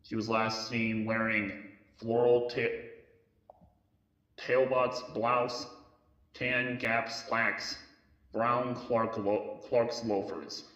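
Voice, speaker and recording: A man reading a scripted police case briefing in a halting way, occasionally stumbling over words. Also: mid-range voice, flat delivery, a bit bright. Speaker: narrator presenting a police case file. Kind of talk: scripted narration, mock police briefing tape in an analog horror story. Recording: muffled lo-fi tape sound, roomy echo.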